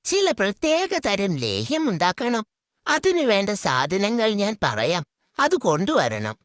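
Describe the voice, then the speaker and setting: A woman's voice: mid-range voice, huge pitch swings, slow pace, no room sound, clean studio sound. A woman voicing a sly, lazy male fox who poses as a healer in a children's cartoon, scheming and bossy. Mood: bossy, persuading. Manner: scheming, bossy, mock-authoritative. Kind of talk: children's cartoon story character.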